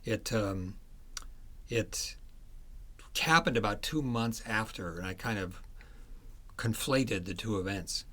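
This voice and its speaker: Low-voiced man, halting author interview guest. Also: fairly bright tone. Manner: halting, searching for words. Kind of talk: conversational interview about history and a book.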